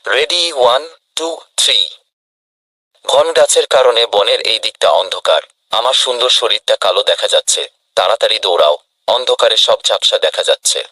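Boastful cartoon rabbit, played by a man, bright low voice with very animated, exclamatory delivery. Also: normal speed, no echo, rough recording. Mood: sly, hurried. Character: vain, boastful male rabbit in a race. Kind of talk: children's cartoon dubbing.

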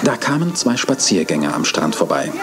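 A man narrates a children's radio play in a calm, storytelling manner. His voice is very low but moves with lively intonation. Music plays underneath. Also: moderate pace.

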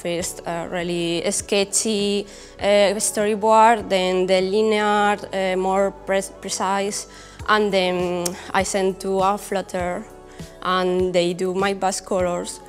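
A young woman from Spain with a Spanish accent, answering interview questions casually and haltingly as she searches for words. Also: medium pitch, flat delivery, big-room sound. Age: young adult. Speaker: comic creator being interviewed. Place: indoor brick-walled studio set. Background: music.

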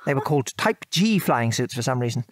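A man's voice: medium pitch, big pitch swings, a little fast, no room sound, studio quality. An actor playing an affectionate British father and former wartime air force officer, patiently reminiscing in an audio drama. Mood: fond reminiscing. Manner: chuckling, patient, old-fashioned British turns of phrase.

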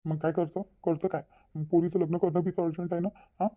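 A man's voice: a little high, level tone, quick pace, phone-quality sound, close to an everyday voice. A man voicing a troubled, insistent middle-aged village father in a Marathi cartoon, earnest and persuading, with a Vidarbha Marathi accent.

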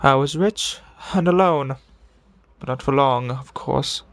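A man voicing a deranged serial killer who confesses with morbid relish in a theatrical, brooding monologue full of dramatic pauses, in the style of a video game villain. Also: mid-range voice, a bit bright, dry recording. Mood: brooding, bitter. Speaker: amateur voice impressionist.

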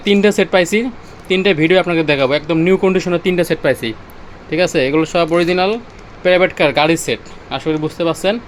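A Bangladeshi man pitches goods in an unscripted, informal demo. His voice sits a little high and he talks very quickly, often repeating himself. Some urban background noise runs behind him. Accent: Bangladeshi Bengali. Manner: repetitive, pointing things out as he goes. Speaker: seller demonstrating used car audio-video equipment. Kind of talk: informal sales demo, off the cuff.

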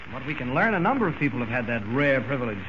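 An actor playing a calm young man newspaper reporter in 1940s radio-drama style, steady and polite, on a muffled old recording with hiss. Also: mid-range voice, rapid-fire, close to an everyday voice. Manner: steady, matter-of-fact, polite.

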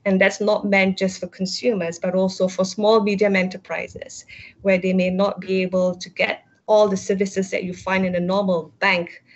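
A woman's voice: medium pitch, level tone, normal speed. A middle-aged woman explaining her work as a panelist on a virtual panel, speaking into an earphone headset over a video call. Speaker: panelist, company executive. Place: book-lined room indoors, over video call. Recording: dull, lo-fi video call audio.

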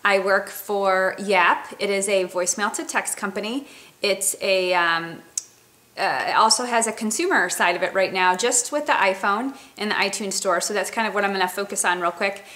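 A woman's voice: mid-range voice, moderate pace, sharp tone. A woman in her thirties chatting casually to a webcam in her home kitchen, walking through a product with plenty of fillers and restarts. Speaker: company representative. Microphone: webcam at close range.

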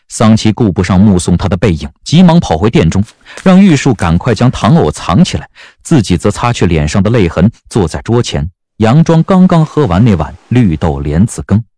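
A man's voice: on the low side, mellow, no echo, clean studio sound. A man narrating a scripted audio drama in a dramatic storytelling style. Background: background music.

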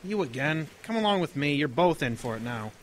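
A man playing a stern, forceful wartime Englishman in an audio drama. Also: on the high side, very animated, fairly quick, slight background noise. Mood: scolding.

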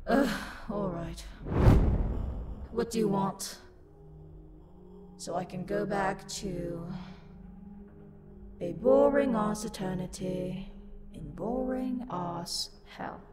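A woman's voice: medium pitch, takes it slowly, pauses often, lightly acted. A woman playing a haughty, sassy, livid female demon in a dramatic roleplay monologue, indignant and imperious. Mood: bitter, fed up. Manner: indignant, imperious, loud outbursts. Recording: long reverb, music behind the voice. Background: music.

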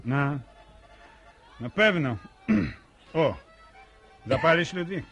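An actor playing a chatty, hesitant village man with speech in a radio serial, halting and repeating his words. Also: on the high side, lively intonation, a bit bright, husky, soft background music, exaggerated voice. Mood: amused, companionable. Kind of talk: Polish radio serial acting.

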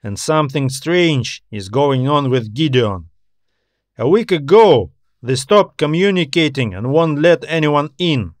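A man's voice: fairly high pitch, expressive, a little slow, no echo, clean studio sound. A man voicing a tired, anxious customs officer in an audio story, talking in a weary, griping way.

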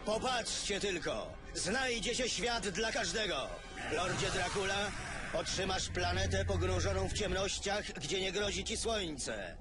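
Supervillain warlord for animated series: very high pitch, measured slow delivery, pompous and taunting, music underneath. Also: male voice. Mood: grandiose, coaxing. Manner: grandiose, theatrical, taunting. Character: triumphant male supervillain warlord rallying his allies. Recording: loud dramatic music behind.

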